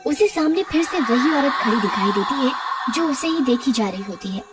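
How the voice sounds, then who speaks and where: A woman's voice: high voice, expressive, moderate pace, over loud music. A woman narrator reading a scripted story in a dramatic storytelling style.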